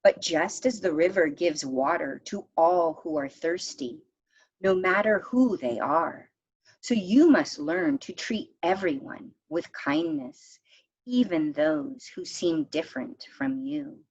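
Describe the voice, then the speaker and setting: A woman voicing a wise, compassionate woman of folktale in a solemn, measured, forgiving manner. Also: low-pitched, lively intonation, darkish tone, slightly rough, highs cut off, heavily acted. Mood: solemn, gentle.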